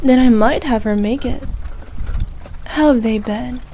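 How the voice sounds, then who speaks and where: Evil queen played by a woman, regal, imperious, ruthless but doting, mellow tone, very unhurried, ASMR roleplay. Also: a little high, a little hiss or hum. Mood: teasing, commanding. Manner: regal, imperious, measured and indulgent. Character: haughty, ruthless evil queen, doting on her favourite knight. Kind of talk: ASMR roleplay, scripted character acting.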